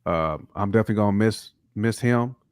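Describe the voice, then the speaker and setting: Male podcast co-host, low voice, close dry sound, slangy and emphatic casual talk. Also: brisk, clear, resonant tone, no echo. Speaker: hip-hop podcast co-host. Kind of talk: casual chat.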